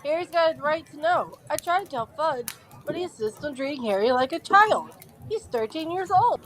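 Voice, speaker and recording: A woman's voice: sits high, animated, moderate pace, a bit bright. A woman playing an earnest, worried middle-aged father and wizard official in a fan audio drama, with hesitant, insistent delivery. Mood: urgent, insistent.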